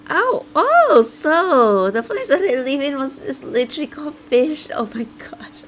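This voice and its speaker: A woman live streamer with an excitable manner, chatting casually as she reacts to a game. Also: high-pitched, a little slow, a little hiss or hum.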